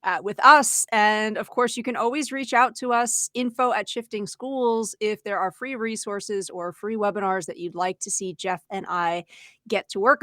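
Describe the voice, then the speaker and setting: A woman's voice: mid-range voice, bright, forceful tone, dry recording, clean studio sound. A woman co-hosting an education webinar, explaining ideas in a conversational way. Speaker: podcast co-host and education trainer.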